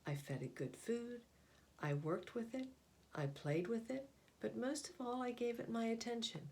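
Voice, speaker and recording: A woman reading a picture book aloud as a storyteller, with deliberate, expressive phrasing and pauses. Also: fairly high pitch, slight background noise.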